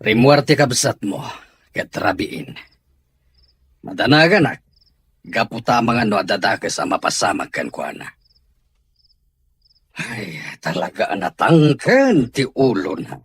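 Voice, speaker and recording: A man's voice: mid-range voice, sing-song, takes it slowly, long pauses, fairly bright tone, slightly rough, no echo, heavily acted. A man playing a worried middle-aged father in an audio drama, troubled and admonishing. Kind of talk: radio drama acting.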